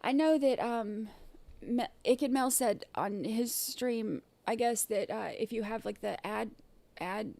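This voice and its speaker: A woman hosting a casual livestream, her throat scratchy and irritated, clearing it often and rambling with many fillers and restarts. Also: fairly high pitch, a little slow, few pauses, warm tone. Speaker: livestream host.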